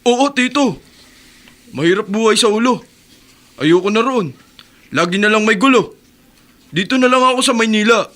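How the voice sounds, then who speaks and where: A polite young newcomer. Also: male voice, high voice, moderate pace, pauses often, a bit bright. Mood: earnest. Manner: polite, respectful, uses po. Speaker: radio drama character. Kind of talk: radio drama acting.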